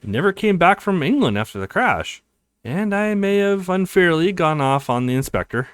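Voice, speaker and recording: A man's voice: high-pitched, big pitch swings, normal speed, a bit bright, clear, resonant tone, no echo, studio quality, pitched above the performer's own voice. A man reading visual novel dialogue as a sentimental, earnest adult sister who is easily embarrassed. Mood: wistful.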